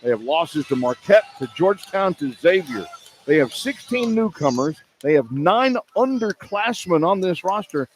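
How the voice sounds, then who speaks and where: A man's voice: on the high side, big pitch swings, moderate pace, slight background noise, rough recording. A man working as a radio play-by-play announcer, delivering sports broadcast commentary and game statistics.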